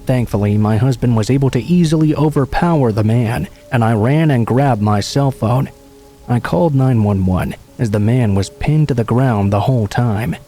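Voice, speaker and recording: A man calmly narrating true horror stories in an even, scripted read. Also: medium pitch, normal speed, soft background music.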